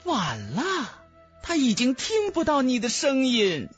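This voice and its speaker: A man's voice: very high-pitched, huge pitch swings, measured pace, slightly rough, faint music behind, voice pushed up, full character voice. A man voicing a flirtatious, mocking female villain, a seductive gloating enchantress in an audiobook.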